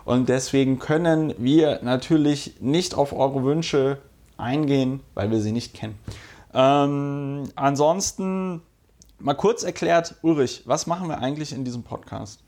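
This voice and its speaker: A man hosting a podcast who has a cold, talking casually with many fillers and pauses, laughing easily. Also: mid-range voice, darkish tone. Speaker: podcast host. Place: indoor room with a guest.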